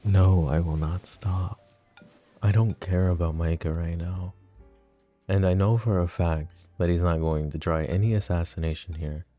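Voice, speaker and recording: A man's voice: deep voice, fairly even intonation, a little slow, warm tone, faint music behind. A man playing a flirty, possessive mafia boss in an ASMR roleplay, talking in an intimate, teasing, casual way straight to his lover. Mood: serious, low. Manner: intimate, teasing, casual, addressing the listener directly.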